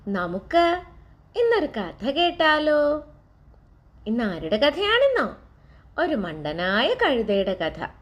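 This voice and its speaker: Slow, lively woman telling a children's story. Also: sits high. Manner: animated, asks the listeners questions.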